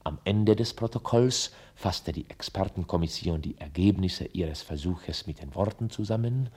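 A man narrating a scripted radio drama with dry, measured irony. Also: deep voice, a little mellow, slightly rough.

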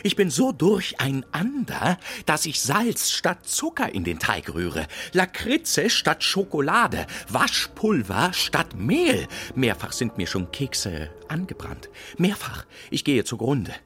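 A man's voice: fairly high pitch, wildly swooping pitch, slow pace, few pauses, sharp tone, heavily acted. A man playing a frazzled, self-pitying cookie inventor, jittery and melodramatic, in a children's audio drama. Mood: despairing. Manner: melodramatic, jittery, repeats himself plaintively.

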